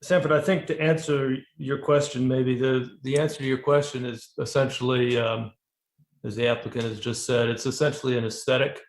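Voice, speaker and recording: A man with a creaky, gravelly voice weighs in as a commission member. He is measured and a little hesitant, and the room around him rings with echo. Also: medium pitch, flat delivery. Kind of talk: informal meeting discussion. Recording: echoey room on online call.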